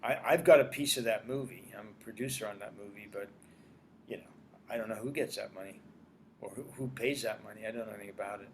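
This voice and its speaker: An older man raised in Australia, a screenwriter and producer chatting casually as an interview guest, animated and repeating his phrases. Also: lowish voice, fast, slight background noise.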